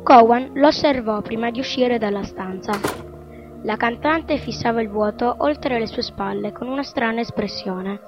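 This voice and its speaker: A woman's voice: a little high, normal speed. A woman narrator reading scripted narration for a radio drama. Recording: dull, lo-fi radio drama sound. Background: music.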